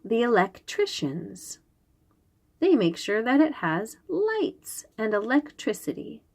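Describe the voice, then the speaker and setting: Female storytime reader for preschoolers: slow delivery, very lively intonation, simple explanatory, questioning manner. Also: a little high, gravelly. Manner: instructive, questioning, enunciates key words for small children. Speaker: host reading picture books aloud to young children.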